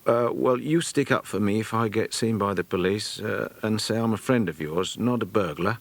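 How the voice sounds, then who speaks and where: A man's voice: fairly low pitch, normal speed, no room sound. A man voicing a bold, curious, plain-spoken stable boy in a children's audiobook. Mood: eager.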